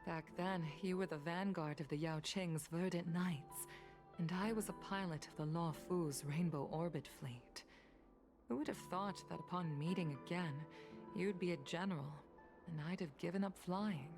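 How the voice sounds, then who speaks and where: An actress voicing a dignified retired pilot in a video game, courteous and composed, speaking formally to an old comrade. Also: female voice, on the low side, normal speed, close to an everyday voice. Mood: nostalgic, courteous. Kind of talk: scripted video game voice acting. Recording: music under the voice.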